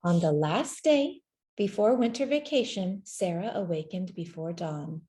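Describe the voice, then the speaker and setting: A woman reading a children's story aloud in a gentle, measured way, with deliberate pauses between phrases. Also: lowish voice, animated. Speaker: storybook reader. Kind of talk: scripted children's story narration, read aloud.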